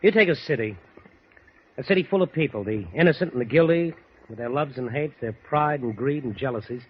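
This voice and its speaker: A man's voice: mid-range voice, lively intonation, fairly quick, close to an everyday voice. An actor playing a wry, cynical young newspaperman in a 1940s radio drama. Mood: musing, intense. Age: young adult. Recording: dull, noisy 1940s radio recording.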